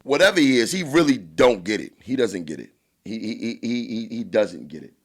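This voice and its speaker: Booming animated man, New York accent. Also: a little fast, a little hiss or hum. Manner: animated, blunt, emphatic, swears freely. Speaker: podcast co-host. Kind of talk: casual podcast chat.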